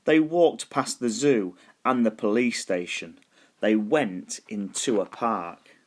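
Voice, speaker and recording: A man reading a children's story aloud as scripted narration, deliberate and expressive, stretching words for emphasis. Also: on the low side. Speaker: storybook reader.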